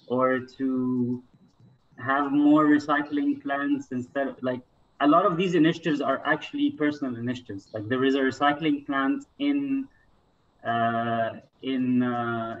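A man's voice: mid-range voice, a bit bright, highs cut off, a little hiss or hum. A man from Egypt speaking casually and earnestly as an interview guest, thinking aloud as he talks. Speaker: interview guest, climber and environmental advocate.

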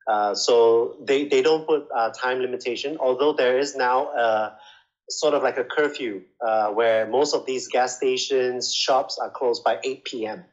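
Male interviewee, mid-range voice, conversational explainer with halting, repetitive phrasing. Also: medium pitch, highs cut off. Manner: halting, repeats words while explaining. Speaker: interviewee, language school manager. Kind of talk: casual explanatory interview answers.

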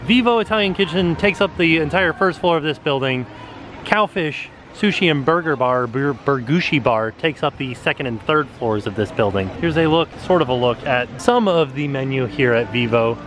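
A man's voice: a little high, normal speed, a bit bright, slight background noise. Chatty man giving an outdoor walking tour. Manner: chatty, pointing things out as he walks. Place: outdoor shopping and dining area.

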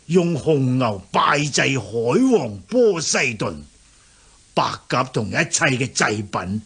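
A gravelly, frail old seer. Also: male voice, medium pitch, sing-song, takes it slowly, fairly bright tone, full character voice. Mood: grateful, solemn. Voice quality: gravelly, husky. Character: starving, pitiful old blind seer, grateful to heroes. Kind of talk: radio drama acting.

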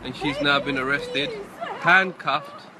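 A man's voice: medium pitch, slow, crowd noise, noisy background. A man speaking outdoors on an amateur street video.

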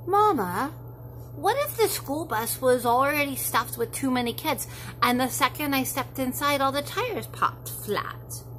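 Woman playing little schoolboy, storybook, high voice, anxious, imaginative, breathless rambling questioning. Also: expressive, measured pace, fairly bright tone, a little hiss or hum, poor audio. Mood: worried, excited. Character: anxious, imaginative little school-age boy pestering his mother. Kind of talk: expressive children's storybook reading.

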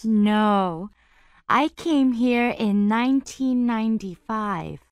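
A woman voicing a friendly young woman in a scripted dialogue for language learners, speaking slowly and carefully. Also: a little high, animated, smooth, dry recording, studio quality. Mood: calm. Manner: slow, carefully spoken.

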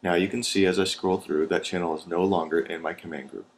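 A man with an American accent and a low-pitched voice narrates an instructional video. He delivers scripted steps methodically, one at a time, as a trainer would. There is faint noise behind his voice. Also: fairly even intonation, fairly quick. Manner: methodical, step-by-step.

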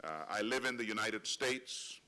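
A middle-aged man from Trinidad, seated at a panel table, delivering a prepared speech in measured, solemn tones with slow emphatic pauses into a table microphone. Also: lowish voice, lively intonation, bright, forceful tone. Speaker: conference speaker. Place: large conference hall, seated at a panel table.

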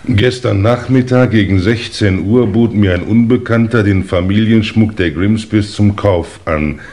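An actor in a German crime radio play, playing a dutiful inspector reading a report in a formal, official manner. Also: male voice, lowish voice, a little slow, darkish tone, close to an everyday voice.

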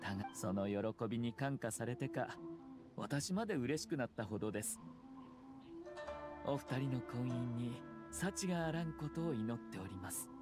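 An actor playing a courteous, composed young aristocratic clan head in a video game, with a calm, formal manner. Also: male voice, medium pitch, natural voice. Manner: calm, formal, measured diplomacy. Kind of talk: Japanese video game voice acting. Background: background music.

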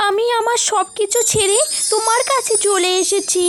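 A woman's voice: extremely high pitch, steady tone, moderate pace, voice pushed up. A woman voicing a lovestruck, stubborn young female cartoon bird, coy and pleading, in a children's story. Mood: hurt, earnest. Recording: music behind the voice.

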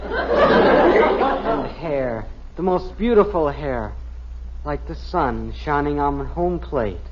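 An American actor playing an earnest, lovestruck fifteen-year-old son in a 1950s radio sitcom, gushing and naive. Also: male voice, on the high side, big pitch swings, very slow, slightly rough, exaggerated voice. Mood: dreamy, gushing. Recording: muffled old radio broadcast, faint hiss.

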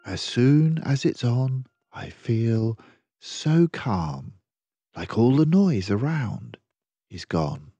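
An older man reading a children's picture book aloud in an expressive, measured, deliberate way. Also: medium pitch, husky, no echo. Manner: measured, deliberate, rhyming delivery.

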